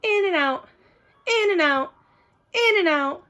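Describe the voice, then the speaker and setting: A woman voicing a chatty, mischievous little girl in an animated children's storybook reading. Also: very high voice, slow, pitched above the performer's own voice, full character voice. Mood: eager. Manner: exuberant, childlike, emphatic. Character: excitable, chatty, mischievous little girl narrating her plane trip.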